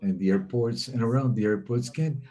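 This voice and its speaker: A man giving a simultaneous interpretation of webinar talks, speaking in a steady, measured way with occasional hesitations. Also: medium pitch. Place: remote webinar.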